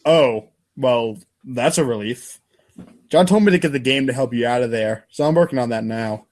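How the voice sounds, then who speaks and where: A man's voice: medium pitch, normal speed, darkish tone, lightly acted. A man voicing an aloof, slangy teenage boy who rambles casually to hide his nerves in a comic reading. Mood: uneasy, rambling. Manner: casual, rambling, affectedly cool.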